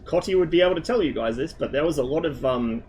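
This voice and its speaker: A man's voice: a little high, expressive, quick pace, a little mellow, faint music behind. A man streaming to his viewers in loose, rambling chat, swearing often and wandering between tangents, with a slight lisp on his L sounds and a lingering cough. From Australia. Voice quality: mild speech impediment on L sounds.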